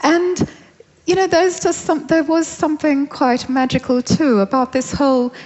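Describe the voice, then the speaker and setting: A woman's voice: high-pitched, level tone, normal speed, darkish tone. A woman from Sri Lanka, a novelist, answering questions in an onstage festival conversation, reflective and hesitant with many fillers. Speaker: novelist, interviewee. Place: large festival hall on stage. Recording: hall reverb, dull lo-fi sound.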